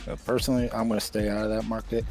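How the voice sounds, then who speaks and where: A shrewd associate of a crime boss in a roleplay game, played by a man. His voice sits fairly low, and he picks his words haltingly and discreetly. Music is clearly audible underneath. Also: flat delivery, a little mellow, natural voice. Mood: sly, businesslike. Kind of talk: improvised in-character online roleplay dialogue.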